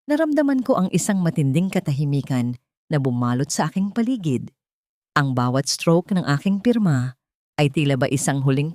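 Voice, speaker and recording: A woman reading a scripted first-person story in a solemn, melodramatic, deliberate manner. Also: low voice, warm tone, no room sound, clean studio sound.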